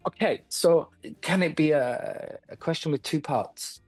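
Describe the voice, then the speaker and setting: A man from London speaks as an acting coach in a casual interview. He talks slowly and thoughtfully, laughing easily, in a dry and close sound. Faint music sits in the background. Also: on the high side, a little mellow, breathy edge, no echo.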